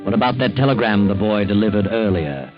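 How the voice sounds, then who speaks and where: An actor playing a sinister, taunting narrator of a 1940s radio mystery, slow and ominous in delivery. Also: male voice, lowish voice, expressive, lightly acted. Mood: mocking, ominous. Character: the Whistler, sinister mocking male storyteller. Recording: dull old 1940s radio recording, music behind. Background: eerie music.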